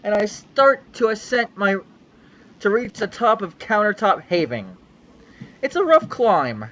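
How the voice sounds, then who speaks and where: A man's voice: sits high, very animated, unhurried, pushed and bright, lo-fi sound. A young man giving a mock-dramatic reading of a fanfic on a livestream, with a theatrical, sweeping storyteller delivery. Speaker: reader on a livestream.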